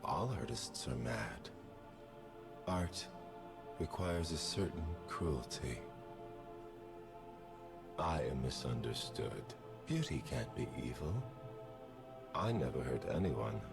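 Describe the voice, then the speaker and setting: An actor voicing a theatrical male assassin who treats killing as art, slow and grandiose, often whispering, in game voice lines. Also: expressive, full character voice. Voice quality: deep gravelly voice. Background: music.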